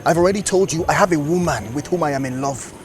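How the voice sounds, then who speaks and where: Screen drama: faithful, fed-up Nigerian man played by a male actor, high male voice, blunt and dismissive. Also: fast talker, lightly acted. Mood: indignant, firm. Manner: blunt, forceful. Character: indignant, faithful man rebuffing an unwanted admirer.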